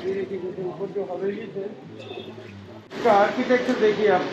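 High-voiced man guiding a street walk. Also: muffled recording, noisy background. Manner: explanatory, mixes Hindi with English phrases. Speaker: heritage walk guide. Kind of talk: guided tour explanation. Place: outdoors in old city lanes. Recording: loud background music.

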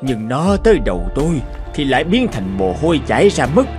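A poor, quick-witted graveyard keeper from a cartoon fairy tale, played by a man. He speaks humbly and earnestly, explaining himself with patient respect. Music sits softly behind the voice. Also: medium pitch, sing-song, lightly acted. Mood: pleading.

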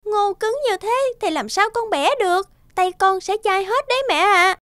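A woman's voice: extremely high pitch, lively intonation, normal speed, a bit bright, dry recording, clean studio sound. A woman voicing a spoiled, lazy young village girl with a whiny, childish manner in a folk-tale cartoon. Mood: whiny, dismissive. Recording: children's music behind the voice.

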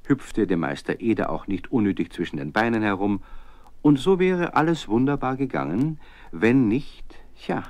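An actor playing a friendly narrator who tells a scripted story to children. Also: male voice, low-pitched, darkish tone, dry recording, heavily acted.

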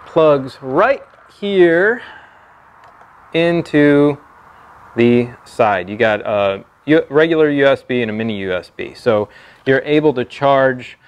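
An American man in his thirties giving a casual product review to camera in a halting, conversational way. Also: mid-range voice, expressive, clear, resonant tone, highs cut off. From Texas, United States. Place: open metal-roofed stable, seated at a pickup tailgate.

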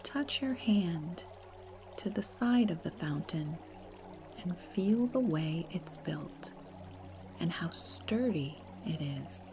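A woman leading a guided meditation in a soft, breathy, soothing and unhurried way. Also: lowish voice, expressive. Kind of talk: slow guided meditation narration. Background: meditation music.

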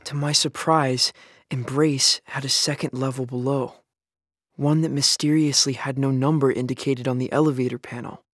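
An actor playing a young male apocalypse survivor, narrating his ordeal in a measured, grave way. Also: mid-range voice, steady tone, a bit bright, slightly rough, no room sound, studio quality. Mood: grave, reflective. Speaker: lead actor and narrator in a drama podcast. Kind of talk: scripted first-person dramatic narration.

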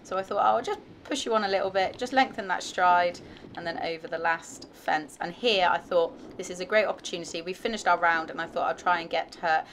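A woman's voice: fairly low pitch, fairly quick, a bit bright, a little hiss or hum. A young woman with a British accent, an equestrian vlogger giving chatty, upbeat voice-over commentary on her own showjumping round. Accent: British English. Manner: chatty, upbeat, self-reflective.

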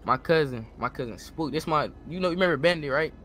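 A man's voice: fairly high pitch, quick pace, fairly bright tone, a little hiss or hum. An American man improvising gangster roleplay in a game, talking in profane street slang with repeated check-in phrases. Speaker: online roleplay player.

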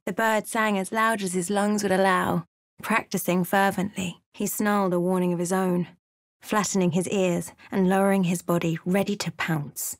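A woman voicing an observant adventuress in a fantasy video game, with measured, literate, dramatic delivery and wry asides. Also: medium pitch, no echo, studio quality, natural voice. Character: observant young adventuress, a fantasy game companion recounting her journey. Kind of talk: video game voice lines, dramatic narration and remarks.

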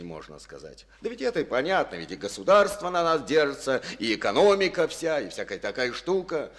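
An actor playing a boastful, rambling middle-aged district boss with folksy speech, in an old radio play. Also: male voice, medium pitch, fairly bright tone. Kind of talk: Soviet radio play acting. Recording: old studio radio recording.

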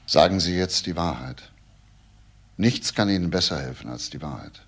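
An actor playing a stern investigator questioning a suspect in a crime radio play, terse and probing. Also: male voice, sits low, lively intonation, moderate pace, a bit bright, full character voice.

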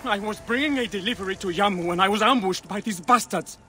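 An actor playing a flustered, defensive beer delivery man in a video game, excitable and whiny. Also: high-pitched, fast talker, faint music behind. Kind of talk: video game cutscene acting.

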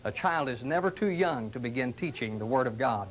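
A middle-aged man, a pastor, speaking in an earnest, gentle, inviting tone in scripted television ads from the 1980s. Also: medium pitch, lively intonation, fairly quick, rough recording. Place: studio sets and church rooms, indoors. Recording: 1980s local TV ad audio.

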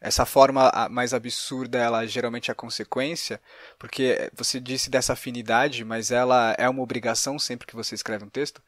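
A Brazilian man hosts an interview in a conversational, informal style. His voice sits at a medium pitch and he words his questions thoughtfully. The sound is dry and close. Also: little pitch movement, brisk, dry recording. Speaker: podcast host, interviewer.

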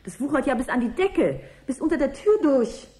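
A woman's voice: high-pitched, sing-song, normal speed, mellow. An actress in a German radio play playing a brusque, uneasy woman with a dry, mocking way of talking. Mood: scornful. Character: brusque, uneasy, sharp-tongued woman exploring a flat. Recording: 1980s radio drama, faint noise.

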